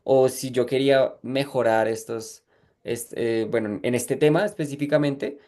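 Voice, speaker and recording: A male language teacher speaks as a podcast guest at an average pitch. He talks reflectively, often repeating words as he looks for the right phrasing. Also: a little slow, darkish tone. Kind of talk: casual podcast conversation.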